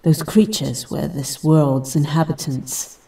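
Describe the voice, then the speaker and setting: Low, slow, solemn warrior woman played by an actress. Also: fairly even intonation, warm tone, far from the mic, poor audio. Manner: solemn, commanding. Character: stern immortal female warrior guarding an alien island. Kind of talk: audio drama acting.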